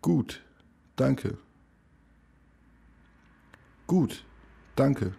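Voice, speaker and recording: A man reading short phrases slowly and carefully, each one repeated three times, for a language lesson. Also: medium pitch, lively intonation, creaky, dark tone, breathy edge. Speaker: language teacher. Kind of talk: slow repeated phrase drill.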